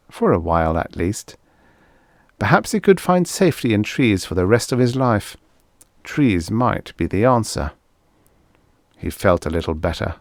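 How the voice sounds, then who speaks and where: A man's voice: low voice, no echo, clean studio sound. A man reading a scripted story as an audiobook narrator.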